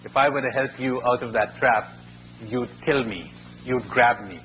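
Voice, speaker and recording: A man's voice: medium pitch, normal speed, fairly bright tone, slight background noise, natural voice, low-quality recording. A man voicing a wary little village boy in a spoken fable, protesting and pleading.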